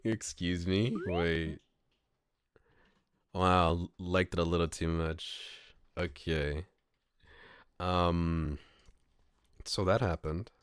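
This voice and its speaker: A man streaming and reading a visual novel aloud, playful and thinking out loud about his choices. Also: deep voice, fairly even intonation, very slow, pauses often, clean tone, dry recording, studio quality.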